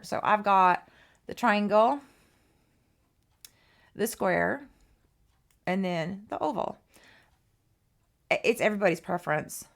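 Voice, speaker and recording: Hoarse, chatty woman with a gravelly voice. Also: mid-range voice. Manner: chatty, rambling, many ums and restarts. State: hoarse, losing her voice. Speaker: art teacher. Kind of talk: casual art tutorial.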